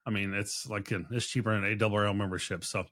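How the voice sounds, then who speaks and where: A man's voice: fairly low pitch, little pitch movement, quick pace, fairly bright tone. A man hosting a podcast in casual interview chat, effusive and rambling, often repeating himself. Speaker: podcast host.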